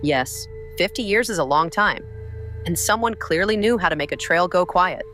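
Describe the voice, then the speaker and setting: An actress playing a methodical official in an audio drama, speaking in a measured, formal and patient way. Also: female voice, on the low side, lively intonation, a bit bright, soft background music. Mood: calm, cautioning. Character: calm, methodical female official, patiently steering witnesses. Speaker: official taking statements.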